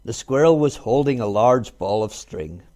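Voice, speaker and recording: A man reading a children's storybook aloud in an expressive narrating style. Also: medium pitch, normal speed. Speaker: storybook reader.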